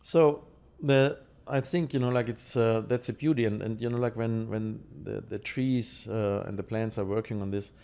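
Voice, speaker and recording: A man from Germany with a German accent, explaining things conversationally and haltingly in an interview, checking in with his listener. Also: fairly low pitch, steady tone, darkish tone, clear, resonant tone.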